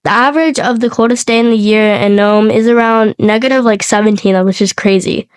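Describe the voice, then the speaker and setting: A woman's voice: a little high, steady tone, brisk, smooth, no echo. A young woman, congested from a cold, co-hosting a podcast and telling a story in a partly scripted, partly chatty way. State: sick with a cold. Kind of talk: podcast storytelling, part scripted, part casual chat.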